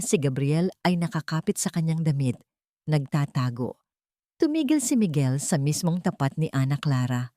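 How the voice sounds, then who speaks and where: A woman with a Filipino accent reading a dramatic story as an audiobook narrator, solemn and expressive with deliberate pauses. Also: fairly low pitch, dry recording, clean studio sound.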